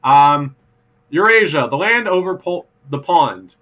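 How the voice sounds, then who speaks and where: A man's voice: on the high side, animated, measured pace, sharp tone, rough recording. A man co-hosting a live podcast stream, chatting casually, greeting viewers and hesitating with fillers. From Canada. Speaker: podcast co-host.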